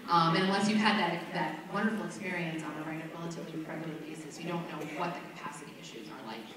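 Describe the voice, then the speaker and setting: Fast-talking woman, very echoey hall. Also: low voice, a loud crowd. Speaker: resident asking questions. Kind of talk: informal public questioning. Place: large indoor public meeting room. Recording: very echoey hall, distant mic, clear noise.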